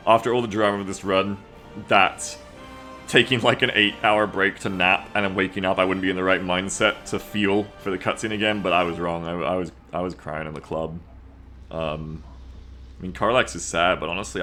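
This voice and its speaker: A man with a fairly deep voice talks off the cuff as a game streamer. He rambles in an excitable, sweary way, chatting casually to his viewers. Soft music plays behind him. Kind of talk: casual livestream chat to viewers.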